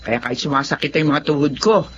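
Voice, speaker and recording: A woman's voice: low-pitched, fast talker, lo-fi sound, a little hiss or hum, lightly acted. An actress playing a tired, poor older mother who scolds and complains, in a radio drama. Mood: complaining.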